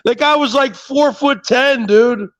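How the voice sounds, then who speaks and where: A man trading crude, profane jabs in casual banter, loud and mocking, often mimicking others. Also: very high voice, measured pace, lo-fi sound. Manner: brash, profane, mocking, does mimicking voices.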